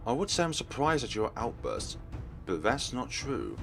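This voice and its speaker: A man's voice: medium pitch, huge pitch swings, normal speed, creaky, fairly bright tone, soft background music, full character voice. A man voicing a polite, smug supernatural master of ceremonies with a theatrical manner in a visual novel. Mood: measured.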